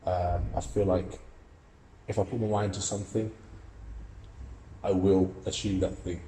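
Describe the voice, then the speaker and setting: A man's voice: deep voice, flat delivery, measured pace, warm tone, roomy sound, slight background noise, poor audio. A young man from Russia, an amateur boxer and student, giving earnest, reflective interview answers with many fillers and restarts. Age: young adult.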